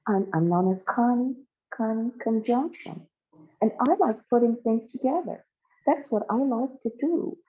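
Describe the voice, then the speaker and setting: Through a thin, phone-like recording comes a nervous, fussy storybook woman, acted by a female storyteller. Her voice sits high and sounds fretful and earnest, as if forever committed to her job and a little put out. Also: unhurried, lightly acted. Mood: fussy, annoyed. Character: nervous, fussy, committed young working woman, easily annoyed.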